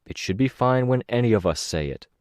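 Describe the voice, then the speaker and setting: A man voicing a soft, patient young man in an audiobook. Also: medium pitch, animated, brisk, dry recording, clean studio sound, voice pushed up, lightly acted. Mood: calm.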